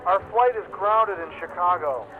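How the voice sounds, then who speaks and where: A man's voice: high voice, expressive, moderate pace. An actor playing a stranded adult son phoning his mother in a radio drama, heard over a phone line. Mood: anxious. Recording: dull, noisy phone call with ambience.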